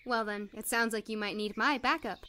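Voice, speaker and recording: A woman's voice: fairly high pitch, steady tone, brisk, pushed and bright. An actress playing a plucky young woman doctor in an audio drama, wry and determined in manner. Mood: determined, tense.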